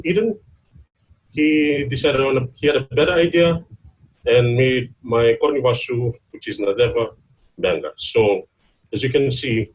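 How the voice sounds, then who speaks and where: A man with a Fijian accent and a slightly shaky voice, giving an informal talk to an online gathering with hesitant pauses. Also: medium pitch, rough recording. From Fiji, Lau islands. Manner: hesitant, pausing mid-phrase. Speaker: guest speaker, university student. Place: online video meeting.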